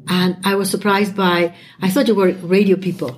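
A woman's voice: mid-range voice, little pitch movement, brisk, a little hiss or hum. A woman in her seventies from Chile whose first language is Spanish, chatting outspokenly as a guest. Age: 70s. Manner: chatty, warm-hearted and outspoken, with frequent "you know". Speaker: guest, podcast hosts' mother. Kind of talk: casual family conversation.

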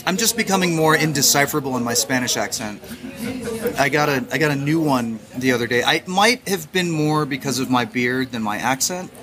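A man's voice: mid-range voice, lively intonation, fairly quick, crowd noise. A man co-hosting a podcast, chatting casually in a self-deprecating way with many fillers. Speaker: podcast co-host. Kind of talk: casual chat. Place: Japanese supermarket eatery. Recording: music playing behind.

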